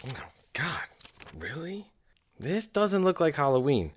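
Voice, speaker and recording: Weary, skeptical scriptwriter, swinging pitch. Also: male voice, huge pitch swings, takes it slowly, slightly rough, full character voice. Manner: weary, dry, sardonic. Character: tired, skeptical, grumbling family-man radio scriptwriter. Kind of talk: period audio drama dialogue.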